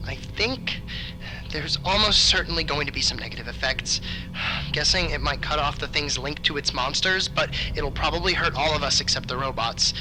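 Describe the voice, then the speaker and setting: A man's voice: a little high, moderate pace, noisy background, close to an everyday voice. A man playing an anxious, analytical and wry mission member, stammering and hesitant, in an audio drama. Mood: uncertain. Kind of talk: naturalistic audio drama acting.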